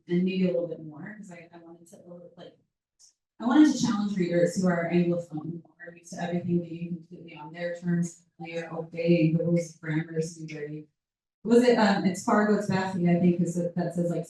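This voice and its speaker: Soft woman, far off in an echoey hall. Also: lowish voice. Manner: reflective, searching for words with restarts. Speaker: author in conversation. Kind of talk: casual talk at a live book event. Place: event room with audience. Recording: roomy hall picked up from far off.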